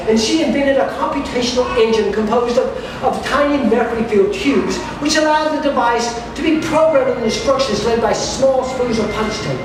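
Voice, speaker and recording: A storyteller reads a steampunk fairy tale aloud to a live gathering, occasionally tripping on a word and repeating it. The voice sits at some distance from the mic in a heavily echoing space, with clear noise behind it. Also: medium pitch. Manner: narrative reading with stumbles and repeated words. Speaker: author reading at a live storytelling event. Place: live event space at a carnival. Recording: very echoey, noisy room, distant mic.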